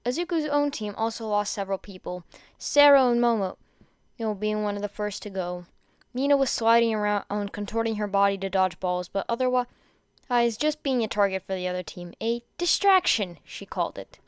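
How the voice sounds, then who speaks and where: Female podfic narrator, smooth clean voice, dry close sound, stumbles and repeats phrases. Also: medium pitch, normal speed, no room sound. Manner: stumbles over words and rereads phrases. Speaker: podfic reader. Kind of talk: amateur fan fiction narration read aloud.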